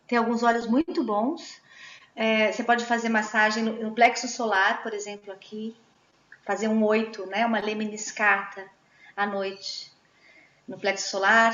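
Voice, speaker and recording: A woman giving gentle advice as a guest educator, explaining step by step and often checking in with tag questions. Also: mid-range voice, roomy sound, muffled recording. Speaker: guest speaker, Waldorf educator. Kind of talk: advice in an online talk.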